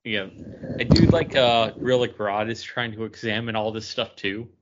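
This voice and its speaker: A man's voice: lowish voice, fairly even intonation, moderate pace, heavy background noise. A man co-hosting a podcast, chatting casually about comic books. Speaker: podcast host. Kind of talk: casual comic book review chat. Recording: dull, lo-fi sound.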